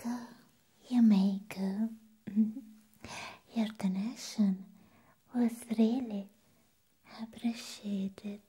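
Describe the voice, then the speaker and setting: Woman acting a slow whispering vampire nurse. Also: mid-range voice, warm tone, full character voice. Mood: sweetly polite. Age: young adult. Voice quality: breathy whisper.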